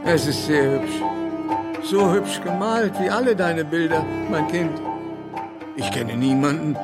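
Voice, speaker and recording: An actor playing an elderly gentleman in a period radio drama, a doting father who speaks gently and worries. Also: fairly high pitch, natural voice. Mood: fond, worried. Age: older. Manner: gentle, worrying, deliberate. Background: string music.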